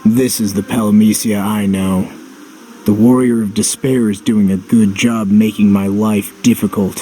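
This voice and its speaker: Man playing a low-voiced, guarded game hero. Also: steady tone, normal speed, warm tone, a little hiss or hum, natural voice. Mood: reflective. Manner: dry, guarded, understated. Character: terse, world-weary young male game hero, brooding. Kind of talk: video game voice acting. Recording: background music. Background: game music.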